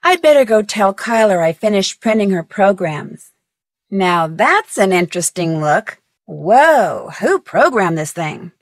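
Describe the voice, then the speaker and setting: A woman voicing an inquisitive, polite young female detective in a video game, with expressive delivery. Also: medium pitch, a little slow, no echo, studio quality. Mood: matter-of-fact, then puzzled.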